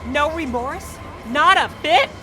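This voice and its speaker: An actress playing a hot-headed, bitter racer in a video game, confrontational and biting. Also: female voice, sits high, animated, slow pace. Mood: furious. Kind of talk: video game cutscene acting. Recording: music and crowd noise behind.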